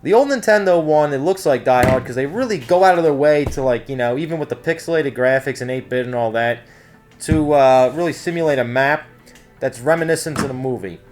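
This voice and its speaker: A man's voice: medium pitch, fairly quick, darkish tone, faint music behind. A man giving a casual, rambling video game review in an informal, conversational way. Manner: rambling, informal, frequent "like, you know" asides.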